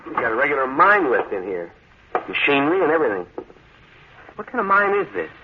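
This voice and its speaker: Confident no-nonsense american husband, vintage radio play, thin narrow sound, faint hiss. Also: male voice, fairly high pitch, big pitch swings, brisk. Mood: indignant, wary. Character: self-assured, practical husband, skeptical. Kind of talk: 1950s radio drama acting. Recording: tinny vintage radio, faint background noise.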